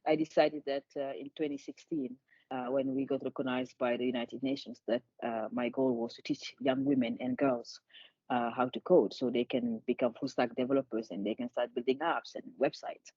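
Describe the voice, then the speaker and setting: A woman talks earnestly as an interview guest, often repeating words as she restarts. Her voice is mellow and warm. The sound is dry and a little dull, as if lo-fi. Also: a bass voice, steady tone, normal speed, slightly rough, no room sound. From Senegal. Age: 50s. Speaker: charity founder, guest. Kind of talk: conversational interview.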